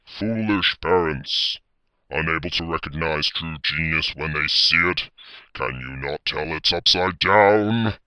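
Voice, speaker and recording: A man voicing a pompous, melodramatic evil-genius baby pony with a booming villain's voice in a story reading. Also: a little slow, pushed and bright, smooth, no echo, exaggerated voice. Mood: scornful, scheming. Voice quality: very deep, booming voice.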